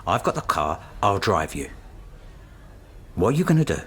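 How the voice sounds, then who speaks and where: A man's voice: low voice, very animated, moderate pace. A man acting in a radio drama as a pragmatic senior academic colleague, pressing his point in a blunt, urgent, matter-of-fact way.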